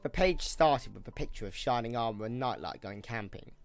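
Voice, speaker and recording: A man narrating a scripted audio drama in an expressive storytelling manner. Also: mid-range voice, fairly quick, gravelly, soft background music.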